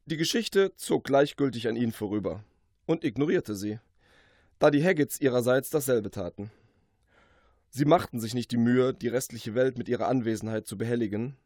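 A man's voice: medium pitch, darkish tone, dry recording, studio quality. A man narrating a comic fantasy parody from a script, in a formal, mock-epic storyteller manner. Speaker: author reading from his own book.

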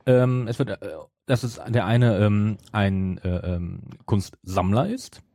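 A man's voice: lowish voice, slow, warm tone, no room sound, studio quality. A middle-aged man in casual podcast talk, often hesitating and correcting himself as he retells things. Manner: hesitant, self-correcting, many broken-off restarts. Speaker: podcast co-host.

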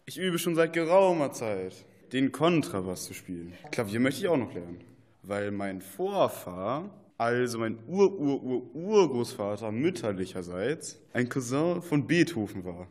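A man's voice: medium pitch, big pitch swings, a little slow, a little mellow. Man playing a rambling, muddled little dragon. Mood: proud, chatty. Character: little chatty dragon, muddled aspiring double bass player. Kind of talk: children's radio play.

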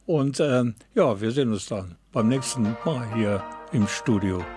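A man's voice: medium pitch, expressive, measured pace, over music. An older male radio host bantering jovially with his co-host in the studio. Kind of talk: radio show moderation. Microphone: studio mic.

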